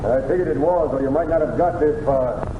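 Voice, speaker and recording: A man's voice: a little high, a little fast, close to an everyday voice. Surly cowhand villain by a man, tinny and echoey. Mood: sneering. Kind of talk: old-time radio western acting. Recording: echoey, noisy, tinny old radio recording.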